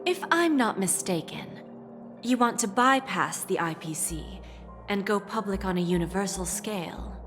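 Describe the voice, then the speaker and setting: A woman plays a calculating corporate executive in a video game. She speaks in a medium register with measured courtesy and unshakable poise, over soft background music. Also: medium pitch, lively intonation, close to an everyday voice. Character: poised, shrewd female corporate negotiator, calmly calculating.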